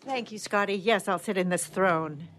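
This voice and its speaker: A woman's voice: mid-range voice, normal speed, fairly bright tone, a little hiss or hum. A woman guest reader introducing and reading short stories aloud.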